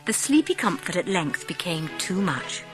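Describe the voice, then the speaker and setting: A woman narrating a dramatised storybook as an audiobook, with expressive, measured phrasing. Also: on the low side, music in the background.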